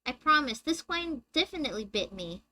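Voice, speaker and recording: A young woman with a high-pitched, squeaky voice, chatting with her viewers on a live game stream and reading the story text aloud. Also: very animated, fairly quick, bright, forceful tone. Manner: chatty, reads dialogue aloud and answers chat.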